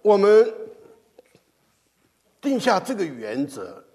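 A man preaching a sermon with a loud voice, speaking in an animated, emphatic way. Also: sits high, slow. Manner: animated, emphatic, laughs at times. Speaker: pastor. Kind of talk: sermon in Mandarin with live interpretation. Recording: echoey hall.

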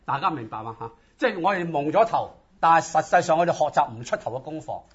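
Fast, husky-voiced preacher, a man. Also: a little high, expressive. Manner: exhorting, rhetorical questions. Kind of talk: sermon in short phrases with pauses for interpretation. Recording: dull, lo-fi audio.

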